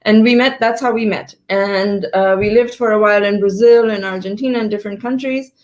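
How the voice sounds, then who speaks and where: A woman in her fifties, born in Pakistan, answering interview questions on a video call, often pausing to rephrase her sentences. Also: medium pitch, steady tone, darkish tone. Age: 50s. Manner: thoughtful, stops to rephrase mid-sentence. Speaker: guest entrepreneur.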